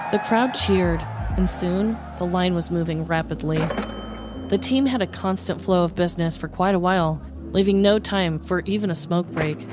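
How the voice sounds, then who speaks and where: A woman's voice: lowish voice, normal speed, music in the background. An American woman narrating a scripted comedic true-crime story as a podcast host.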